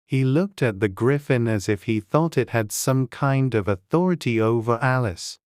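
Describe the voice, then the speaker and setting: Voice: medium pitch, moderate pace, a little mellow, dry recording, studio quality. A narrator reading a children's classic as a scripted storybook audiobook.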